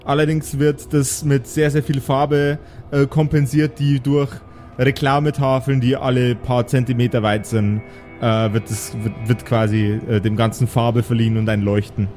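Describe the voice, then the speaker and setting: A man narrating an improvised tabletop game as game master, describing scenes in a halting, searching way. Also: medium pitch, little pitch movement, darkish tone, soft background music.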